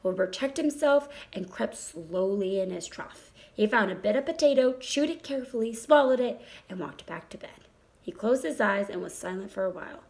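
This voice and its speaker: A woman reading a children's story aloud as narrator, in an expressive storytelling style. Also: medium pitch, moderate pace, reverberant. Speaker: storybook reader.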